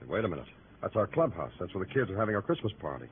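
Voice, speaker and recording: A dry, hard-boiled detective. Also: male voice, deep voice, sing-song, racing, slight background noise. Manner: dry, sardonic. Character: hard-boiled male police lieutenant narrating. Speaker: police lieutenant and narrator. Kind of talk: 1940s radio acting. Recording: tinny old radio with music.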